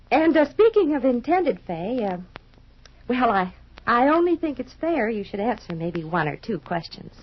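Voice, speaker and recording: A woman's voice: fairly high pitch, big pitch swings, a little slow, breathy edge, full character voice. A woman playing a nosy, chattering, easily offended married sister in a 1950s radio soap opera, folksy and fussy. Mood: coy, prying. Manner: gushing, folksy, ungrammatical, fussy. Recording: muffled vintage radio recording.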